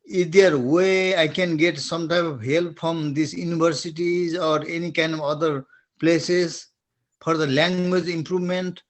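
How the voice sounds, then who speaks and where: A middle-aged man from Nepal with a strong Nepali accent who speaks in halting phrases, restarts often and searches for words while asking a question in an online webinar. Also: on the high side, fairly even intonation.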